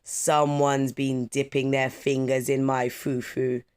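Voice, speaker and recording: A storyteller voicing a big, stern, suspicious father in a children's storybook, announcing slowly and emphatically. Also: medium pitch, flat delivery, a little mellow, clean tone, natural voice. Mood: stern, indignant. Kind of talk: children's storybook read-aloud.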